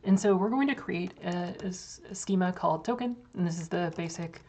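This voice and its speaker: A young woman with a voice going hoarse gives a casual, filler-heavy walkthrough of software on a screen share. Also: on the low side, a little fast, slight background noise. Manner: casual, loose, many fillers and restarts. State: losing her voice. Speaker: developer demoing software.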